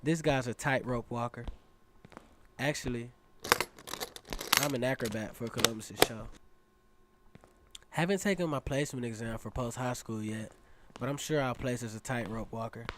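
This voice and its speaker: A man's voice: medium pitch, moderate pace, pauses often, very noisy, natural voice. An actor playing a cocky, dreamy teenage circus acrobat who narrates his own story in a casual, self-assured way for a radio drama. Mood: casual, confident. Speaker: narrator and lead character. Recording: music playing behind the voice. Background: instrumental music.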